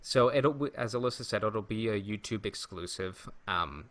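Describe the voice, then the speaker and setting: A man co-hosting a podcast in casual chat, halting as he thinks aloud. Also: fairly low pitch, a bit bright. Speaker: podcast co-host.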